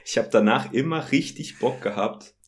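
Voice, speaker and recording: A young man chatting casually as a podcast guest, relaxed and amused, with frequent fillers. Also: medium pitch, normal speed, slight background noise. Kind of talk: casual chat.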